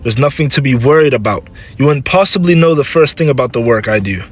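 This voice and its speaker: A man's voice: medium pitch, expressive, fast talker, soft background music, voice pushed up, natural voice. Man acting a proud, volatile young warrior. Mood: condescending. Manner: defiant, scornful, heated.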